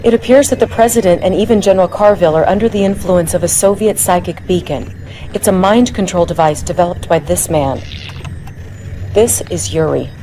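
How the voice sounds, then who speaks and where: An actress playing a young American military intelligence lieutenant, giving formal, measured briefings in a crisp manner for a video game. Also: female voice, on the low side, mellow, a little hiss or hum, lightly acted, low-quality recording. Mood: composed briefing.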